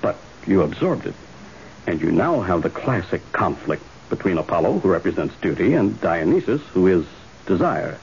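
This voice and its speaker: A deep, authoritative doctor. He explains things in a calm, measured, rational way. The old radio drama recording is muffled and faintly hissy. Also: gravelly, heavily acted. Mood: calm, grave.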